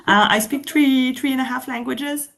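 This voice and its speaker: A woman's voice: sits high, creaky, a bit bright. A woman from Belgium with a Belgian French accent, chatting casually as co-host of a live stream, with lots of "um" and "like". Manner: chatty, laughs often, fillers like "um" and "like". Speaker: summit host.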